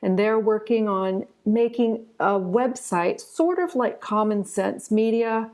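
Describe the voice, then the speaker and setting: A woman's voice: medium pitch, takes it slowly, a little mellow. A woman speaking as a guest in a conversational interview, earnest and emphatic, often repeating words for stress.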